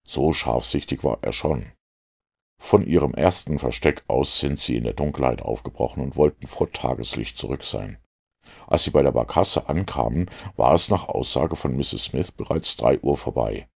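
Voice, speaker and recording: Man voicing a brisk detective, extremely low, gravelly, precise, analytical, audiobook. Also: darkish tone, heavily acted. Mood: analytical, assured. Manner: assured, precise, expository. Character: brisk, self-assured male detective, commanding and analytical.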